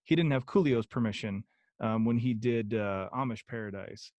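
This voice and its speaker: A man's voice: on the low side, normal speed, darkish tone, no room sound, highs cut off. A young man chatting as a podcast guest, rambling with many ums and restarts. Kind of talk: casual interview chat.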